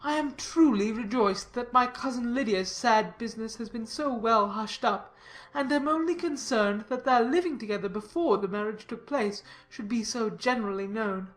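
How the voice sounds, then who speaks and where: A woman's voice: on the high side, close to an everyday voice. A woman voicing a pompous, sanctimonious clergyman reading out his formal letter in a dramatised audiobook. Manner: pompous, formal, deliberate emphasis.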